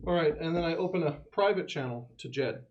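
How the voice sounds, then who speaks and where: A man's voice: fairly high pitch, fast, a little mellow, dull audio. A man talking casually in character as a player in a tabletop role-playing game.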